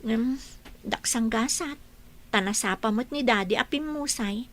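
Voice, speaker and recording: A woman plays a patient village mother in a radio drama, a little reluctant. Her voice is on the high side. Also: unhurried, close to an everyday voice. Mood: patient, resigned. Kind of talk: Ilocano radio drama acting.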